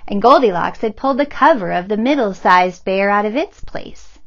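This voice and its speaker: A woman, a children's librarian, reading a picture book aloud in a gentle, expressive storytelling manner. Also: medium pitch, normal speed, lo-fi sound.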